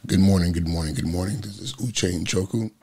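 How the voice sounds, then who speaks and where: A man in his forties, a school principal, with a raspy voice, talking through a reflective morning vlog full of ums. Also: deep voice, steady tone, brisk, darkish tone. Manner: rambling, reflective, many ums.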